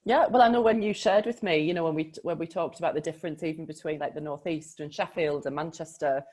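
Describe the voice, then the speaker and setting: A woman, a life coach talking in an expressive, chatty way in a casual conversation. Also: low-pitched, fast. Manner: expressive, chatty, verbal processor. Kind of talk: casual chat.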